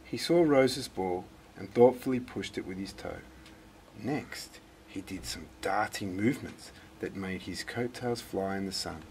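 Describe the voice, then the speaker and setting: A man reading a children's story aloud in a dramatic, deliberate way. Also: deep voice, a little mellow, slight background noise. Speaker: storybook reader. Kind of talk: expressive scripted children's story narration.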